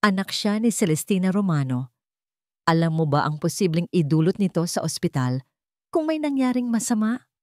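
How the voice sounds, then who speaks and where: A woman's voice: on the low side, very animated, normal speed, a little mellow, dry recording, clean studio sound. A woman voicing a stern, condescending male hospital official who interrogates in an accusing manner, in a narrated drama. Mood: bitterly mocking.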